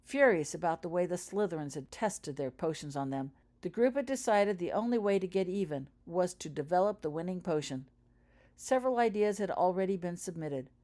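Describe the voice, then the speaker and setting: Low-pitched woman reading a story. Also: dark tone. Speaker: author reading own fan fiction aloud. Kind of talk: scripted storybook narration.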